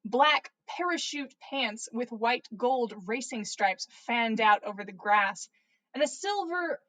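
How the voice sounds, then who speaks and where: A woman's voice: fairly high pitch, fairly bright tone, poor audio. A woman reading fan fiction aloud on a livestream in a playful, theatrical way. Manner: theatrical, playful, stumbles over words and swears. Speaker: podcast host reading listener fan fiction. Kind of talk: animated story reading on a livestream.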